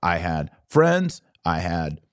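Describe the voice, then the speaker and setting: Middle aged american guy podcasting solo, kinda low voice, really swoopy pitch, punches key words. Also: big pitch swings. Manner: emphatic, dramatic pauses, stressing key words. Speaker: podcast host. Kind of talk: informal solo podcast monologue with personal storytelling.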